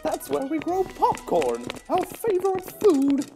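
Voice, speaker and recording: Eager small mouse for kids' audio drama, very high and lively, soft-edged tone, music underneath. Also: female voice, unhurried, exaggerated voice. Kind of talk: children's audio drama acting.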